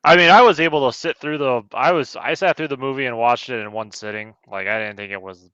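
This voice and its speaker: A man's voice: medium pitch, a little fast, pushed and bright, clear, resonant tone, no echo, highs cut off. A man chatting casually as a panelist in a film review discussion. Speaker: podcast panelist.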